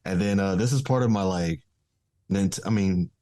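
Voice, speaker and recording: A man's voice: sits low, moderate pace, warm tone. A man chatting informally with friends as a podcast co-host. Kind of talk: casual chat among friends.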